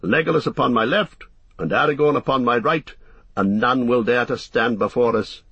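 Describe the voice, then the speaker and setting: A man's voice: mid-range voice, normal speed, a bit bright, husky, dry recording, dull audio. A man voicing a noble, courtly horse-lord warrior. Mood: courteous. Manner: formal, courtly, declamatory.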